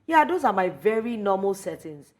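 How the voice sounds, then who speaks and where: A woman's voice: medium pitch, big pitch swings, normal speed. A woman speaking candidly and with humor as a panelist in a TV studio chat. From Nigeria.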